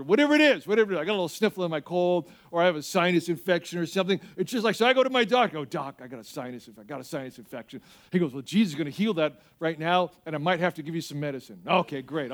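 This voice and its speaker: A man preaching as a pastor in an animated, conversational way, repeating phrases for emphasis and telling humorous personal stories. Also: high-pitched. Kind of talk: sermon with personal anecdotes and acted-out dialogue.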